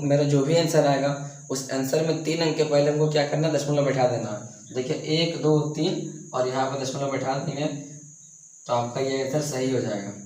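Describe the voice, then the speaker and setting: Man teaching math, sore throat, higher voice, echoey room. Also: a little mellow. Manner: repeats and restarts himself, coughs now and then. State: sore throat, speaking softly. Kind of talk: explaining math on a board.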